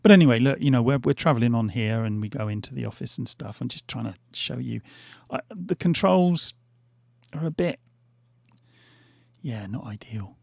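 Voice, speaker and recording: A middle-aged man talks over his gameplay in a loose, hesitant ramble full of fillers. His voice is gravelly and fraying because he keeps losing it. The sound is dry and close, with no room around it. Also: mid-range voice, normal speed, darkish tone, no room sound. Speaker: gaming channel host. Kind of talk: casual gameplay commentary. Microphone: headphones on, desk mic.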